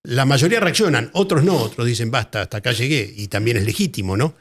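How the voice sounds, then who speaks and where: A man with an Argentine Spanish accent, a writer being interviewed, talking in a thoughtful, halting way and often repeating words as he searches for phrasing. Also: mid-range voice, expressive. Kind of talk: casual interview conversation.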